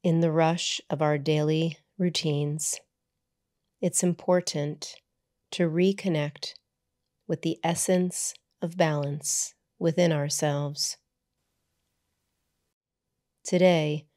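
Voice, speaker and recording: Very slow, low-voiced woman guiding meditation. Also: a little mellow. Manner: soothing, measured, deliberate phrasing with pauses. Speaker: yoga nidra teacher and channel host. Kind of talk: guided meditation narration.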